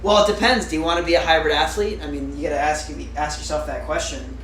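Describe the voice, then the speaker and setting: A man's voice: a little high, steady tone, fast, fairly bright tone, recorded from a distance. Young man chatting off-mic in an echoey room. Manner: chatty, rambling with fillers, answering viewers. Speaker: livestreamer. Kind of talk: casual live-stream chat. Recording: roomy echo, off-mic, faint noise.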